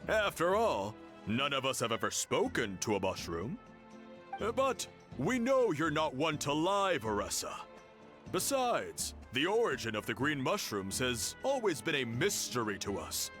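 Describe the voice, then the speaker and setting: An actor playing a calm, thoughtful and reassuring tribesman, over game music. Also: medium pitch, a little slow.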